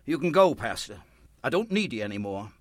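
Bitter man in a radio drama, desperate and pushy, pitch all over, dry close sound. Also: big pitch swings, a little fast, a bit bright, no echo. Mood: bitter, resigned. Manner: insistent, sardonic, emotionally volatile. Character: desperate, bitter man pleading and manipulating his pastor for money. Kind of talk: radio play acting.

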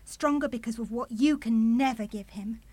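A woman's voice: a little high, moderate pace, darkish tone, dry recording, natural voice. An actress in a radio play playing a bitter, fiercely protective young mother, indignant and cutting in her delivery. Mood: firm, proud.

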